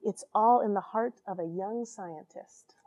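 A woman's voice: mid-range voice, measured pace, darkish tone, dry recording. An American woman in her forties reading a children's storybook expressively, with dramatic emphasis and friendly asides to young listeners. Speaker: astronaut reading a children's picture book. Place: small equipment-filled space station airlock.

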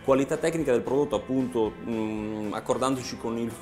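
A man's voice: mid-range voice, fairly quick, dark tone. Male designer explaining, music underneath. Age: 30s. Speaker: product designer. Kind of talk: informal product explanation. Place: small meeting room, indoors. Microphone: lapel mic.

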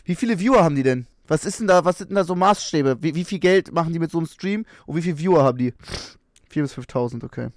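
A man's voice: a little high, animated, quick pace, no echo. A young man wearing a headset, reacting in a casual stream and asking bemused questions aloud. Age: young adult. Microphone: headset with boom mic.

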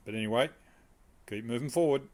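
A man's voice: mid-range voice, fairly quick, darkish tone. A man, casually walking through his home garage workshop, rambling and asking viewers for advice. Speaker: car restoration vlogger.

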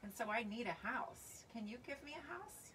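A woman's voice: a little high, expressive, normal speed, a bit bright, pitched above the performer's own voice. Plaintive, wanting boy from a picture book, read by a woman, simple delivery, distant mic outdoors, noisy background. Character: needy, self-absorbed boy who grows old and weary.

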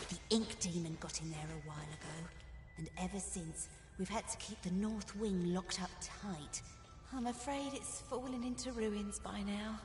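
A woman's voice: medium pitch, moderate pace, close to an everyday voice. A woman voicing a chirpy, doting, slightly creepy housekeeper creature in a video game, fussy and eager to please. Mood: eager, welcoming. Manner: fussy, eager, singsong hospitality. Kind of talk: video game character acting. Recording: echoey hall reverb, music behind.

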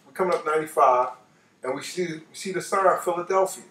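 Casual male storyteller, late fifties, New York accent, animated and repetitive for emphasis, recorded in a roomy living room at some distance. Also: fairly high pitch, fast, slight background noise. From New York, United States. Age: late 50s. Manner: animated, repeats phrases for emphasis. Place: living room, seated on a couch.

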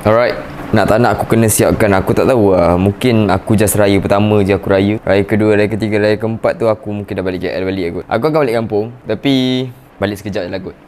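A man's voice: on the low side, steady tone, quick pace, darkish tone, slight background noise. A young man with a Malaysian accent talking casually to his vlog viewers, full of fillers and "guys". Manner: chatty, fillers, frequent "guys". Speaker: vlogger host.

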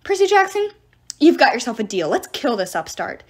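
A woman's voice: high voice, big pitch swings, normal speed. A woman voicing a fussy, indignant male god in a talking statue, complaining officiously in a storybook reading. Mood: outraged, triumphant. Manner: officious, shrieking, rule-obsessed complaining.

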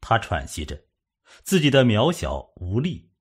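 Husky, low-voiced male storyteller, highly expressive. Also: a little slow. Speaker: audiobook narrator. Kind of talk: dramatic scripted suspense novel narration.

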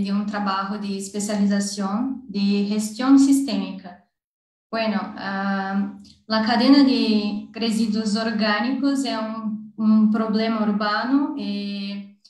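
A woman whose first language is Portuguese gives a slow, halting talk on a video call. She restarts her words often. Her voice comes from a distance, in a very echoey space. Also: mid-range voice. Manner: hesitant, halting Spanish with many restarts. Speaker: architect and smart cities consultant. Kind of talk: panel presentation on a video call.

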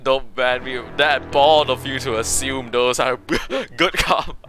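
Young man, from Malaysia, streamer, goofy rambling, bright tone, faint music behind. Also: medium pitch. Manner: goofy, rambling, quick jokes to chat. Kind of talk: casual livestream chat while gaming.

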